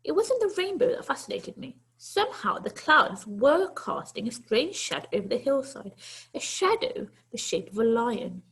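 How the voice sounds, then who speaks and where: A woman's voice: sits high, very animated, normal speed, fairly bright tone, husky, rough recording. A woman teacher reading a children's story aloud to pupils, expressively and with dramatic, emphatic phrasing.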